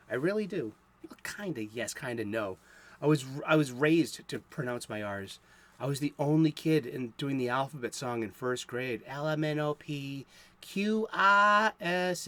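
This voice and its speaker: A man's voice: a little high, fairly bright tone. A man in his fifties with a light Boston accent, hosting a comic book show in a chatty, rambling, enthusiastic way. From South Boston, USA. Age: 50s. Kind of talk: casual chat to the viewer.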